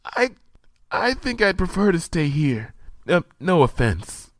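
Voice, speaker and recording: A man voicing a timid, gentle young female pony who speaks hesitantly and stammers. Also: sits high, big pitch swings, a little slow, dry recording, voice pushed up.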